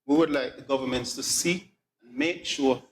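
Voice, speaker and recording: A middle-aged man, explaining things as an interview guest in a measured, phrase-by-phrase way. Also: medium pitch. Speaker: air traffic controller, interview guest. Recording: echoey room, light background noise.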